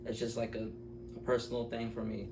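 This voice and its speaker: A man's voice: mid-range voice, completely flat delivery, fairly quick, creaky, darkish tone. A man co-hosting a casual movie review chat, speaking informally and with strong opinions, often repeating himself to make his point. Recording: roomy, distant mic with faint noise.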